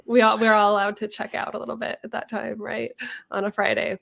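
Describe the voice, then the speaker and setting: A woman co-hosting a casual chat, nasal from a cold, chatty and quick to laugh. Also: mid-range voice, level tone, vocal fry, fairly bright tone. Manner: chatty, laughs easily, many ums. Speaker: co-host.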